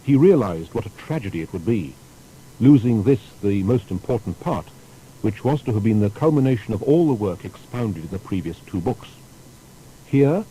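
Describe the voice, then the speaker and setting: A man narrating a scripted historical documentary in a formal storytelling manner with deliberate emphasis. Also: warm tone, low-quality recording. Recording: faint background noise with rumble.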